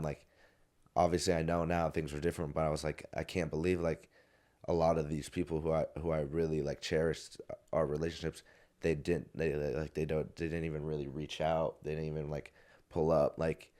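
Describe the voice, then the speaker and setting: A man's voice: extremely low pitch, darkish tone. A man in his twenties, a podcast guest, telling a story in a loose, rambling way, with many restarts and fillers. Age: 20s. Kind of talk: casual storytelling. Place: podcast studio.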